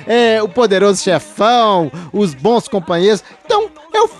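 A Brazilian man co-hosting a podcast, chatting casually and telling jokey, animated stories. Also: high-pitched, moderate pace, faint music behind. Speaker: podcast co-host. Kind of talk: casual chat.

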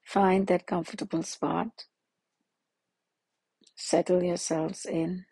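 A woman leading a guided meditation in a slow, soothing manner with long pauses. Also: on the low side, level tone, mellow, husky, dry recording.

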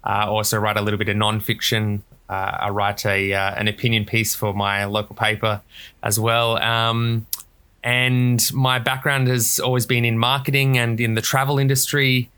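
An Australian man from Australia, a guest author telling his story in a relaxed interview, with many ums and uhs. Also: on the low side, moderate pace, clean tone. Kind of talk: casual interview.

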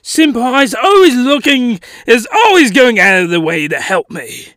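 A man's voice: extremely high pitch, very animated, measured pace, fairly bright tone, no echo, studio quality, pitched above the performer's own voice, full character voice. A man voicing a polite, flirty younger male coworker in a manga, with an exaggerated fake British accent. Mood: polite, apologetic.

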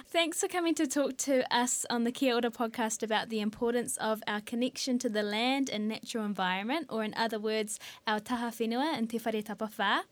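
An upbeat woman hosts a podcast from a studio. Her voice sits a touch high, and she talks in a friendly, casual way. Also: fairly quick, few pauses, dry recording. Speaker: podcast host. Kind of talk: podcast hosting and interview.

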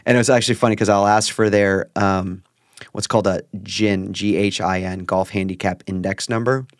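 A man's voice: on the low side, fairly even intonation, normal speed, no echo, studio quality. A man hosting a podcast, chatting casually and rambling through stories with the odd swear. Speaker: podcast host. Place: at a park.

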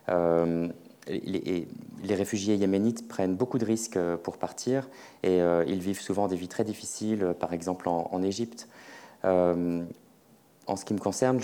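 Interpreter guy, really deep warm voice, repeats words as he translates on the fly. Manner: repeats words while rendering speech on the fly. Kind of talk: consecutive interpreting.

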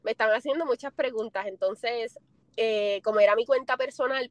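A woman's voice: mid-range voice, no room sound. A young woman from Puerto Rico with a Puerto Rican accent, answering interview questions casually and with a smile, laughing often. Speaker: interview guest.

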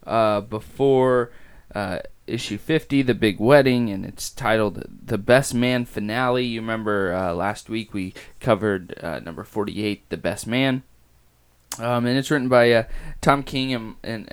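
Raspy guy rambling about comics on his podcast, lots of uhs and ums. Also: lowish voice. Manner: halting, many fillers and restarts. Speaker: comic book podcast host. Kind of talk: casual podcast review.

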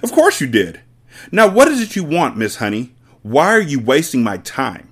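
A man reading aloud and voicing a huge, bullying headmistress who barks and shouts with contempt. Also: on the high side, huge pitch swings, normal speed, husky, voice pushed up, full character voice. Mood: bellowing scornful. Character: bullying hulking female headmistress, furious.

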